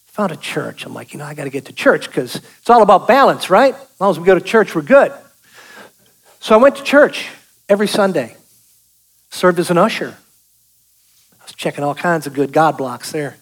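A man's voice: a little high, low-quality recording. A man, giving a folksy, joking testimony sermon and calling out to the congregation. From South Boston, US. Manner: folksy, joking, calls out to the audience. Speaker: guest speaker giving testimony.

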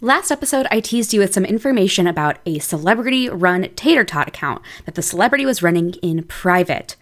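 A woman's voice: medium pitch, lively intonation, a little fast. A woman presenting a chatty scripted podcast segment, speaking directly to listeners. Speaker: podcast producer with a regular segment.

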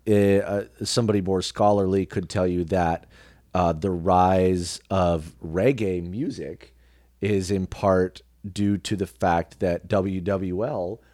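Male podcast guest, very low pitch, dry sound, reflective and halting casual delivery. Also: fairly even intonation, darkish tone, no echo. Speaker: podcast guest, radio personality and actor. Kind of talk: casual conversational interview.

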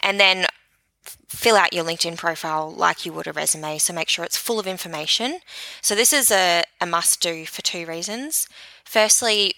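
A woman's voice: lowish voice, moderate pace, pushed and bright, dry recording, low-quality recording. A woman in her thirties from New Zealand with an Australian accent, giving conversational expert advice as a guest. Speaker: guest social media expert.

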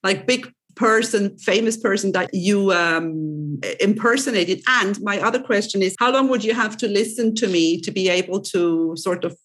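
A woman's voice: medium pitch, normal speed, hardly any pauses, a bit bright. A woman from Switzerland with a Swiss accent, interviewing a guest in a chatty, self-interrupting way. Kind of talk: podcast interview.

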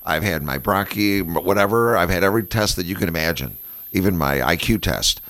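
A man in his sixties co-hosting a radio talk show, hoarse from losing his voice, chatty and self-deprecating. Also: sits low, animated, a little fast, a bit bright, dry recording. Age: 60s. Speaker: radio co-host. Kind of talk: casual radio chat.

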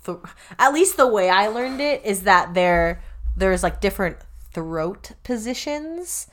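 A woman's voice: medium pitch, expressive, a little slow, a bit bright. A woman co-hosting a podcast who is a singer, explaining casually and rambling with lots of fillers.

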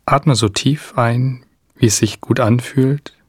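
Male narrator for guided imagery: soothing and unhurried, breathy-husky voice, long pauses, dry studio-like sound. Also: medium pitch, no room sound. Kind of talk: guided meditation, scripted narration.

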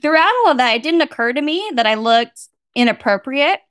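A woman's voice: high-pitched, animated, fairly quick, fairly bright tone, no room sound, studio quality. An American woman from the Bay Area, speaking frankly and matter-of-factly as a guest academic in a conversational interview. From San Francisco Bay Area, United States. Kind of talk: candid conversational interview answer.

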